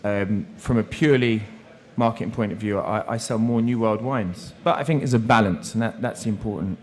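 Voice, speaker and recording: A man's voice: on the low side, fairly quick, a little mellow. Earnest man talking shop on a panel. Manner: earnest, practical, a few restarts. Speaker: restaurant operator on a panel. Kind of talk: panel discussion. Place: seminar room.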